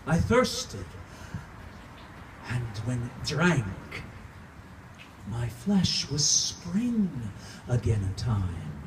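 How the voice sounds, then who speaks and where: A man's voice: mid-range voice, big pitch swings, extremely slow, long pauses, voice pushed down. A man with a Californian accent performing a dramatic monologue as a grieving, embittered centuries-old vampire count, theatrical and declamatory in archaic poetic phrasing. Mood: mournful, weary. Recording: distant live room recording, faint noise.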